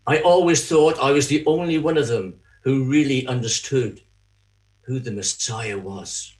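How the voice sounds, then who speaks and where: A man playing a remorseful betrayer in a dramatic church monologue, halting, anguished and confessional as he pleads. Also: medium pitch, a little mellow, lightly acted. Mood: reflective, confessional. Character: Judas, remorseful betrayer haunted by guilt, pleading.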